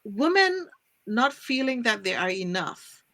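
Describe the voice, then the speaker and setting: A woman's voice: on the high side, very animated, measured pace, pushed and bright. A woman from Trinidad hosting a faith-based talk show, speaking earnestly and thinking aloud. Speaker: podcast host. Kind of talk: casual faith-based conversation.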